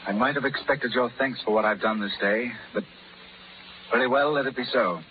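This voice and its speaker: A man's voice: mid-range voice, moderate pace, natural voice. Man playing a passionate pirate captain, muffled old radio. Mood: bitter, defiant. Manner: ardent, theatrical, commanding. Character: bold, proud, passionate young pirate captain. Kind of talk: 1930s radio drama acting. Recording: muffled, noisy 1930s radio recording.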